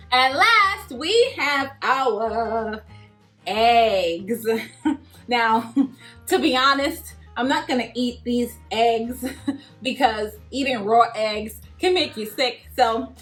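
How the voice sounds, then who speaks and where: A woman hosting a children's Bible lesson, cheerful and animated, laughing often as she talks to kids. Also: a little high. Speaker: children's story host.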